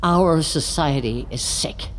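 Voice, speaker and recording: Solemn woman guru type running a support circle, real low voice, takes her time, audio drama. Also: a little hiss or hum. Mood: earnest, grave. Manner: measured, solemn, deliberate. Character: solemn woman leading an anti-technology support gathering. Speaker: group leader. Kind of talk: audio drama acting, ceremonial address.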